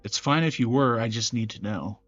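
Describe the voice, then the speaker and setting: A man voices scripted visual novel drama in a serious, measured way. His pitch sits in the middle. The recording is dry and slightly dull, with music underneath. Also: a bit bright, dry recording. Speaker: gaming channel creator voicing every character. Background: game music.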